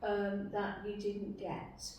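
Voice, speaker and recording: A woman's voice: mid-range voice, monotone, slow pace, a little mellow. A woman talking casually in a group discussion, as a member prompting feedback. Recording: very echoey room, distant mic, faint noise.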